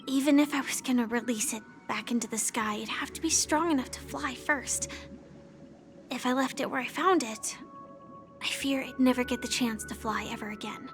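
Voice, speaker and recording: A woman voicing a gentle, thoughtful young woman in a fantasy video game, with an earnest manner. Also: fairly high pitch, normal speed, soft background music, natural voice. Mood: thoughtful, concerned. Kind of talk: scripted game dialogue.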